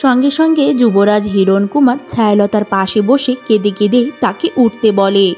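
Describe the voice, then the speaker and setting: A woman's voice: mid-range voice, normal speed, a little mellow, faint music behind. A woman narrator reading a scripted fairy tale for a cartoon.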